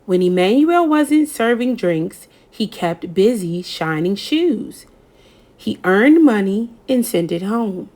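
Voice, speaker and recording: A woman reading a children's picture book aloud in an expressive storytelling style, pausing for effect. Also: medium pitch. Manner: slow, lively storytelling with dramatic pauses. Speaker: read-aloud narrator.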